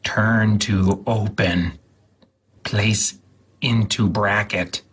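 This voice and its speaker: A man reading an instruction guide aloud in a mock-serious, theatrical, deliberate way, with a husky voice. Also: fairly low pitch, steady tone, fairly bright tone. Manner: theatrical, deliberate, repeats words for emphasis.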